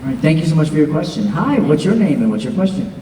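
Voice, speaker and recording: A woman moderating audience questions at a convention panel, brisk and friendly. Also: deep voice, big pitch swings, warm tone, a little hiss or hum. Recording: echoey hall from far off.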